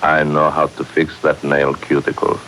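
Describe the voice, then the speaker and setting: An actor playing a threatening, bossy crime boss with a curt, commanding manner in a 1940s radio serial. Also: male voice, very deep voice, monotone, normal speed, a bit bright, rough recording. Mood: menacing. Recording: hissy 1940s radio broadcast.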